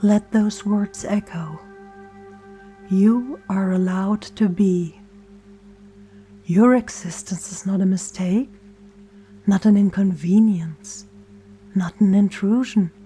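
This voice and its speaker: Female meditation guide: gentle, near-whispered scripted delivery, unhurried pacing with deliberate pauses, soft music underneath. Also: mid-range voice. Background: soft meditation music and singing bowl tones.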